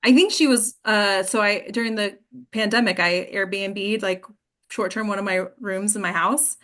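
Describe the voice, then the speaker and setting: A woman's voice: mid-range voice, steady tone, moderate pace, fairly bright tone. A woman hosting an acting class discussion in a casual, informal and encouraging way.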